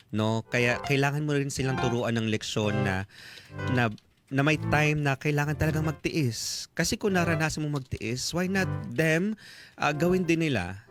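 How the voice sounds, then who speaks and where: A Filipino man co-hosting radio, giving earnest, sympathetic advice in casual talk with frequent tag questions. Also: mid-range voice. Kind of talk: casual advice talk, mixing Tagalog and English. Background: music bed with guitar or instruments.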